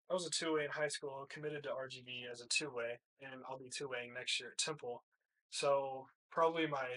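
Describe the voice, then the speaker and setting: A man's voice: medium pitch, fairly bright tone, low-quality recording. A nineteen-year-old man, a college baseball player, answering questions casually as a podcast guest in a small room. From hill country, United States. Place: small plain room, indoors, seated.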